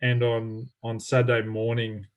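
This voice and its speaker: A man's voice: mid-range voice, completely flat delivery, a little slow, narrow, tinny audio. Male coach, casual and rambling, on a video call. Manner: rambling, lots of fillers and restarts. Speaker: athletics coach.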